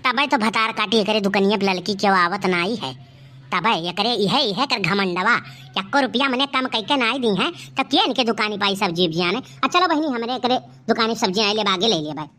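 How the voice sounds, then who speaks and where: A woman's voice: medium pitch, expressive, fairly quick, pushed and bright. A woman voicing a huffy, haggling village housewife in a cartoon comedy, complaining in an Awadhi accent. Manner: complaining, bickering.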